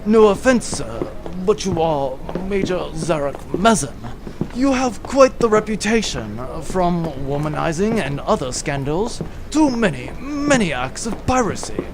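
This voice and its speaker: A man's voice: high voice, very animated, a little slow, background noise, heavily acted. A man voicing a stiff, overly polite male steward on a luxury cruise ship in a comedy audio drama.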